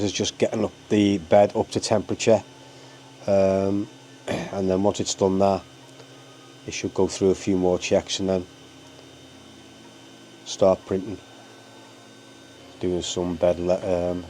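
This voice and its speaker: A man's voice: sits low, little pitch movement, a little slow, long pauses, mellow, slight background noise. A man with a cold and a stuffy, bunged-up voice, hosting his hobby channel in a rambling casual how-to with lots of ums and uhs. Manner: rambling, full of ums and uhs, keeps saying guys.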